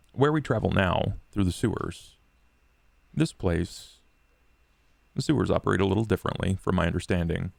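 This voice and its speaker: A man playing a grave, courtly male vampire in a tabletop game, gravelly and formal, speaking in measured, deliberate sentences. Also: low-pitched, no room sound. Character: grave, courtly male vampire, dryly self-deprecating schemer. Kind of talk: tabletop roleplay in character.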